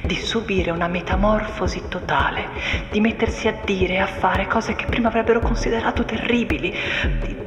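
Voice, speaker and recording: A woman performing a solemn, deliberate dramatic monologue of literary text, often sinking into breathy whispers. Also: medium pitch, steady tone. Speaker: stage actress performing a monologue. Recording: echoey, long reverb, music underneath. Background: music and soundscape.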